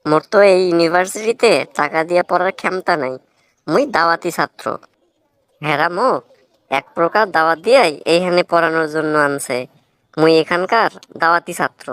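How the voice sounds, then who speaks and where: A woman's voice: fairly low pitch, a little fast, clear, resonant tone, no room sound, lightly acted. A woman voicing an earnest, talkative young village student in a cartoon comedy, speaking in a rustic Barisal accent with blunt, moralizing manners. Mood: proud, explaining. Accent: Barisal Bangla. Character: earnest, plain-spoken young village man, scholarship student.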